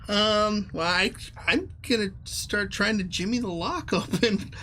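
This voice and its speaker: A woman's voice: mid-range voice, unhurried, pushed and bright, a little hiss or hum. A woman playing a tabletop game, chatting casually as she describes what her character does.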